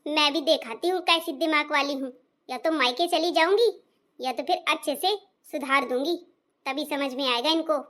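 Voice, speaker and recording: A woman's voice: sits high, moderate pace, fairly bright tone. A woman voicing a sulky, defiant young newlywed wife in a cartoon, indignant and complaining. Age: young adult. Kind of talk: cartoon voice acting.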